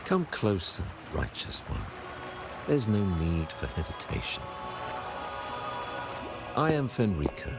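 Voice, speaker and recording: A man playing a stately older high priest in a fantasy video game, speaking in grave, formal, measured oratory. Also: vocal fry, full character voice. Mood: gracious, stately. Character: solemn, stately high priest of a religious order. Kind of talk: theatrical fantasy game dialogue.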